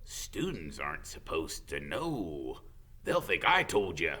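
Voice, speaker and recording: Man playing a gruff, hesitant, bumbling gamekeeper. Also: rumbling low, wildly swooping pitch, slow, sharp tone, voice pushed down, heavily acted. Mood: gruff, secretive. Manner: hesitant, mumbling with many uhs.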